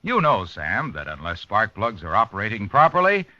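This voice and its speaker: An American man giving an emphatic, jovial sponsor pitch as a 1950s radio commercial announcer. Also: huge pitch swings, moderate pace, bright, forceful tone. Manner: emphatic, jovial, alliterative salesman patter. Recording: dull vintage radio recording.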